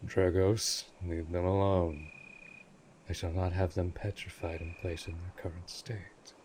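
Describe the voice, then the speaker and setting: A man's voice: deep voice, little pitch movement, a little slow, a little mellow, slight background noise. A man voicing a cold, imperious centuries-old vampire lord in an audio roleplay drama, speaking formally and with disdain. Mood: stern, disgusted.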